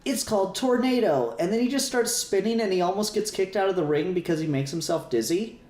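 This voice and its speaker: A man co-hosting a podcast in casual, opinionated discussion, often halting to repeat and rephrase himself. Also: high voice, lively intonation, darkish tone. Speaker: podcast co-host. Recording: roomy echo, faint music.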